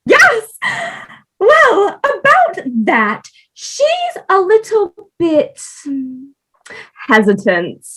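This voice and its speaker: A woman's voice: extremely high pitch, wildly swooping pitch, drawn-out words, a bit bright, full character voice. A woman in a comedic play reading, playing a theatrical, easily wounded, bickering spouse with a whiny delivery. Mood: flustered. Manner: melodramatic, whiny.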